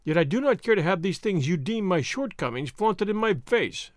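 A man's voice: high-pitched, sing-song, moderate pace, no echo, clean studio sound. A man doing a thin-voiced haughty young nobleman. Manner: polite, cool, haughty. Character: languid, proud young nobleman.

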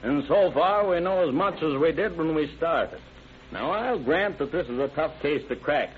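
Actor as stern sheriff on tinny old radio. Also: male voice, a little high, animated, slight background noise, lightly acted. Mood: commanding. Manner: measured, authoritative, formal. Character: stern, methodical male sheriff in command. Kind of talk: old-time radio crime drama acting.

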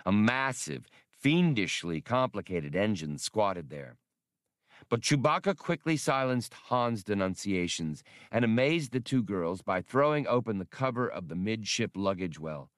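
Guy reading an audiobook, very lively intonation, dry close recording, dramatic storytelling. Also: on the low side, unhurried, dry recording. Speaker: audiobook reader. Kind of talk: scripted dramatic fiction narration.

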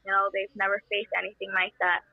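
A young woman from El Salvador, answering interview questions in an impassioned, halting way with many fillers. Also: mid-range voice, little pitch movement, vocal fry, no room sound. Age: young adult. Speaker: interview guest. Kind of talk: earnest conversational answers. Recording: tinny phone-like line.

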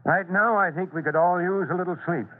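A commanding American fire chief in an old radio adventure, acted by a man. His voice sits a little high and sounds fatherly and in charge. The recording is thin and narrow-band. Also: fairly quick, natural voice. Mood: stern, steady. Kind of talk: old-time radio drama acting.

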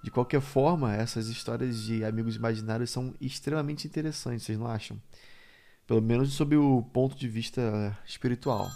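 A man's voice: medium pitch, brisk, mellow. A man with a Brazilian accent, hosting a podcast with informal commentary addressed straight to his listeners. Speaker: podcast host.

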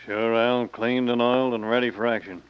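An actor playing a tense, bossy Old West outlaw with an American accent in a radio western. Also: male voice, mid-range voice, fairly even intonation, normal speed, clear, resonant tone, no echo, close to an everyday voice. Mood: tense, businesslike. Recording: muffled, noisy old radio recording.

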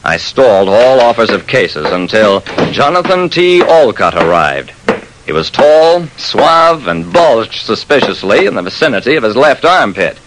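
A shrewd, wry private detective from a vintage radio crime story, played by a man. He delivers hard-boiled narration and dialogue dramatically, with very animated intonation. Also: medium pitch, measured pace, background noise. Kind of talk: first-person old-time radio detective narration. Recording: vintage radio broadcast sound.

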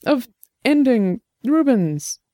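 A narrator voicing a nervous, defensive man whose voice rises high and shaky, near tears. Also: very animated, slow, dark tone, no echo, studio quality. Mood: defensive, shrill.

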